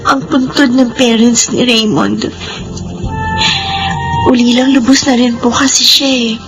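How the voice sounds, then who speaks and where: An earnest young orphan, over music. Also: female voice, fairly high pitch, steady tone, measured pace, low-quality recording. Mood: tearful. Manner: earnest, emotional. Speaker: narrator of her own story. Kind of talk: radio drama acting. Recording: radio drama with music underneath. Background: soft music.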